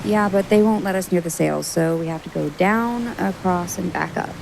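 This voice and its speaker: A woman playing a wry, grumbling young traveller with a creaky voice and a casual, dry manner in an audio drama. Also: on the low side, normal speed. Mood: uneasy. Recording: noisy ship ambience.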